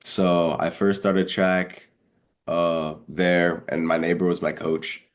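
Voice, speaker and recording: A young man with a very low, slightly stuffed-up voice. He talks as a guest in a casual interview, telling stories in loose, slangy language and voicing the people in them. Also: little pitch movement, slow pace. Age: young adult. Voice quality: congested. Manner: chatty, informal, slangy, acts out remembered dialogue. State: congested, under the weather. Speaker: guest, college high jumper.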